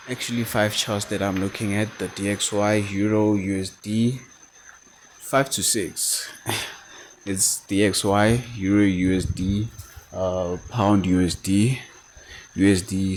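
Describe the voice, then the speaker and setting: Slow low-voiced man, crickets faintly behind. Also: steady tone, slight background noise. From South Africa. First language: not English. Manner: thinking aloud, halting with fillers and restarts. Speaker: forex mentor. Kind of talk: screen-share trading walkthrough.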